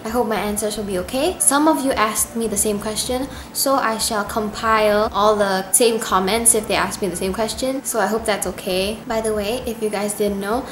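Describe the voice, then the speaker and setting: Young woman from Indonesia chatting earnestly. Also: medium pitch. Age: 20s. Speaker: YouTube cover singer. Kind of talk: casual Q&A vlog. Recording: echoey room with noise.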